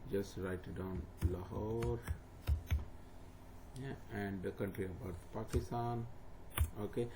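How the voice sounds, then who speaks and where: A man who speaks as a guides a viewer through an online form, repeating steps plainly with fillers. His voice is low and gravelly with little rise and fall. Steady hiss and noise sit under the recording. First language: not English. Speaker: tutor. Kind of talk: screen-recorded walkthrough. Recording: noisy home recording.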